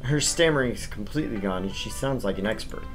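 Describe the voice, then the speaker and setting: A man's voice: lowish voice, animated, fast talker, faint music behind, rough recording. A man hosting a gaming stream, chatting casually and reading aloud in an informal, joking way. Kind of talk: casual chat and visual novel read-aloud.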